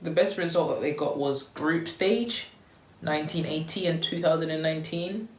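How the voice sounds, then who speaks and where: Chatty young woman, low voice, echoey room. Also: normal speed. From Tanzania. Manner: chatty and upbeat, loose with fillers like "um" and "you know". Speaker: vlogger. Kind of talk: casual vlog chat to camera. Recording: echoey room with faint noise.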